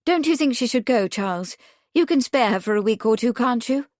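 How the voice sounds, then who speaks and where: A woman playing an eager, bossy upper-class young woman with a brisk, emphatic way of talking. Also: a little high, animated, a little mellow, no echo, studio quality, voice pushed up, close to an everyday voice. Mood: coaxing.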